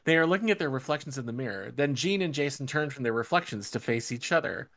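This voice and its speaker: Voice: mid-range voice, lively intonation, fast talker, fairly bright tone. A podcast host reading out script stage directions at a casual table read, with sarcastic joking commentary and frequent swearing.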